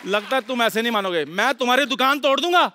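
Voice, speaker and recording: Whiny bossy tea shop man, really high voice, stage comedy, noisy studio. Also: lively intonation, fast. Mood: exasperated. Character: exasperated, bossy male rival tea-shop keeper. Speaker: sketch comedian. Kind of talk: broad stage sketch comedy. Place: large indoor TV studio stage with audience. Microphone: headset.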